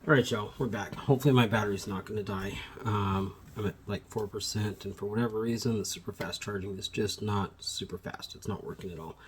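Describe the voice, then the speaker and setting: Low-voiced guy, kinda sniffly, rambly plant vlog on a phone mic, faint hiss behind. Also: fairly even intonation, fairly quick, few pauses. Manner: rambling, self-correcting, friendly address to viewers. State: sniffly from allergies. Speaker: plant shop co-owner and vlogger. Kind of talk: casual how-to vlog. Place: indoors at home among plants. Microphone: phone camera mic.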